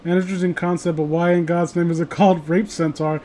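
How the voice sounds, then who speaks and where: Male host, fairly high voice, loose sweary banter over light background noise. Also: flat delivery, fast, dark tone. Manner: rambling, foul-mouthed, joking. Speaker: host of a horror story reading show. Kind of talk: casual chat and commentary on stories. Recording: noisy home recording, clear background hiss.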